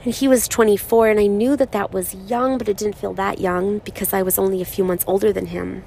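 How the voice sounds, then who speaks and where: A woman in her thirties speaking slowly and softly in a reflective personal monologue, her voice raspy from crying. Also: mid-range voice, fairly even intonation, a little hiss or hum. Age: 30s. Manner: slow, soft-spoken, halting with pauses.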